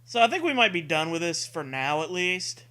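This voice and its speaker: Animated, high-voiced man reading comedy. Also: brisk. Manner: profane, excitable. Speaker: co-host reading a comic story. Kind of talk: comedic read-aloud with casual banter.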